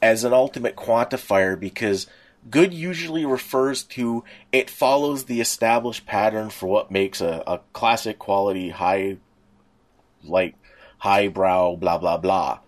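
A man's voice: lowish voice, a little slow. A man co-hosting a podcast, chatting casually and in a rambling way. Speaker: podcast co-host. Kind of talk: casual chat about pop culture.

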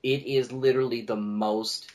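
A man's voice: medium pitch, steady tone, moderate pace, darkish tone. A man talking casually and enthusiastically as a guest on a podcast. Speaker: podcast guest, audio drama producer.

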